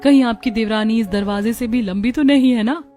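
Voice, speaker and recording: Woman as a teasing sister-in-law, higher-pitched. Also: fairly quick, warm tone, soft background music, lightly acted. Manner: teasing, chiding. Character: teasing, sharp-tongued young sister-in-law. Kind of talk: Hindi family cartoon dubbing.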